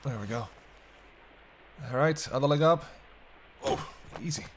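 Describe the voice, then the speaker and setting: A man's voice: fairly high pitch, measured pace, noisy background, close to an everyday voice. A man playing a sardonic but protective vampire in an intimate roleplay audio drama, bantering and speaking straight to the listener. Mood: gentle, guiding. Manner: bantering, wry, murmured asides. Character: teasing, sardonic male vampire, centuries-weary but protective.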